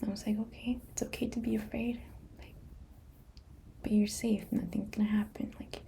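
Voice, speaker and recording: A woman speaking in a soft unvoiced whisper, gently guiding a meditation and sharing a personal story. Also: medium pitch, flat delivery, moderate pace, creaky.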